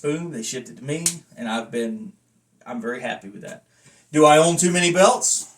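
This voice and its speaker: A man's voice: a little high, animated, moderate pace, fairly bright tone. A man talking casually to camera in a rambling review, with a blunt, self-deprecating manner. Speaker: vlogger and wrestling belt collector reviewing a belt.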